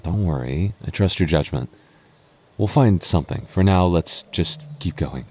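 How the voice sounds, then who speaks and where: A man's voice: low voice, lively intonation, a little slow, darkish tone, slight background noise. A young man voicing a goofy, doting goth boyfriend in an ASMR roleplay, talking in a stammering, self-teasing way with frequent laughs. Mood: uneasy. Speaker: voice actor.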